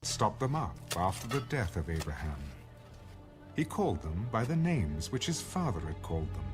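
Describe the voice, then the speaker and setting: Guy reading bible audiobook, dramatic, low voice, roomy echo, music under. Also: very animated, normal speed. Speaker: scripture narrator.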